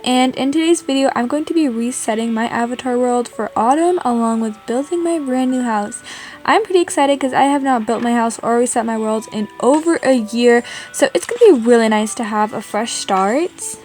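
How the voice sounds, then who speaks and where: Female gaming video host from Canada, high-pitched, informal and chatty, casual narration over faint music. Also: moderate pace, darkish tone.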